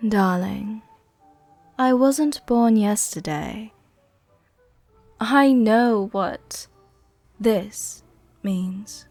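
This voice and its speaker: A woman playing a worldly, softly dominant vampire countess in an intimate roleplay ASMR, with a soft, gentle, tenderly commanding way of speaking. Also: mid-range voice, extremely slow, vocal fry, long pauses, no echo, faint music behind, heavily acted. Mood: composed, inviting.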